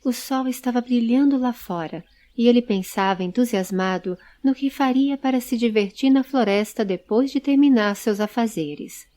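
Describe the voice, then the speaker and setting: Woman, storyteller, scripted audio story narration, slightly high pitch, soft mellow tone. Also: moderate pace, few pauses.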